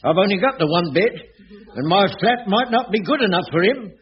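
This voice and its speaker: High-pitched, cowardly English caretaker. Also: male voice, expressive, a little fast. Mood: nervous, backtracking. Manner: stammering, fretful. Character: cowardly, panicky male caretaker of shabby flats. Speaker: actor in a sitcom. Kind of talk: 1980s radio sitcom acting. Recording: dull radio recording, studio audience.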